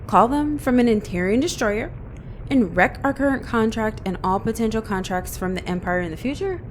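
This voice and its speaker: A crude, self-assured mercenary captain, voiced by a woman, who swears without a second thought. Her voice sits a little high. A faint hum runs underneath. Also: lively intonation, normal speed, natural voice. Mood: blunt. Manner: blunt, swears casually. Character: brash female mercenary captain, crude and sure.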